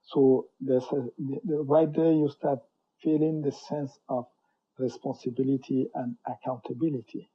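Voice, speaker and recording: A middle-aged man from Cameroon, a professor and interview guest, telling his story in a measured way, full of "you know". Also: mid-range voice, steady tone, breathy edge. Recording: dull lo-fi sound.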